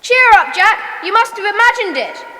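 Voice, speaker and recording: Lively, high-pitched schoolboy leader. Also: female voice, normal speed, long reverb tail, a little hiss or hum, full character voice. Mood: reassuring. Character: confident schoolboy leader of a children's club. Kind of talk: children's audio drama acting.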